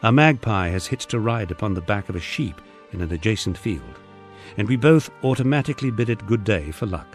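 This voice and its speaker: A man narrating a first-person memoir in a measured, storytelling way, as an audiobook reader. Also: low voice.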